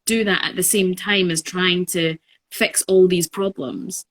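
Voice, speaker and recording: A woman in her twenties with a notably low, deep voice, talking through her thoughts on a podcast. She is reflective and unhurried, with plenty of ums and restarts as she works out what she means. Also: fairly even intonation. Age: 20s. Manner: thoughtful, reflective, many fillers. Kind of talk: casual conversation.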